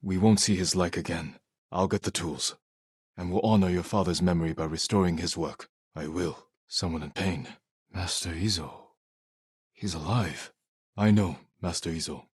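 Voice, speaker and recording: A man playing a solemn, resolute samurai warrior in a video game, speaking in a husky, measured, grave way with short phrases. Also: sits low, no echo, clean studio sound. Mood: somber, gentle. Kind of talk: dramatic video game character dialogue.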